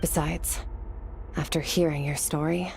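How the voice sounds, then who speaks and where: An actress playing a calm, resolute female swordswoman in a video game, cool and composed. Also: deep voice, a little slow, creaky, slight background noise.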